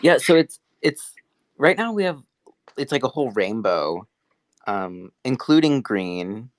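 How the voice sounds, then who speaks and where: A young man from Texas, a guest artist, speaking in a soft, hesitant way with pauses in a casual conversation. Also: medium pitch, big pitch swings, no room sound, clean studio sound. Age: young adult.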